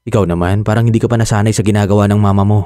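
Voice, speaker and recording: Male actor as pragmatic young boyfriend, casual, rapid delivery, dry sound, radio drama. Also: fairly low pitch, steady tone, dark tone, smooth, no room sound, close to an everyday voice. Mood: casual, reassuring. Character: easygoing young working boyfriend, pragmatic and reassuring.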